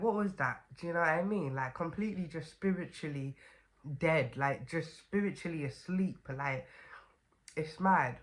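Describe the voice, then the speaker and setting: A woman's voice: deep voice, a bit bright. A young woman with a stuffy, congested voice from a cold, chatting casually to camera as a vlogger with lots of fillers and "okay?" asides.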